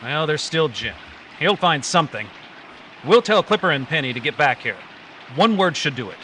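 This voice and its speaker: A brisk, take-charge adventure hero, played by a man in old-time radio drama. The voice sits a little high and businesslike, with clear noise behind it on a live recording. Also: big pitch swings, pushed and bright, rough recording. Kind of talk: 1940s radio acting.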